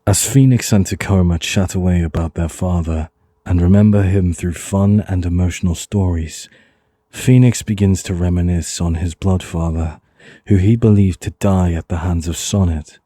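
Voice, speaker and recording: A man narrating an audio drama in a solemn, measured storytelling manner. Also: low-pitched, fairly even intonation, dark tone, dry recording, studio quality. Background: music underneath.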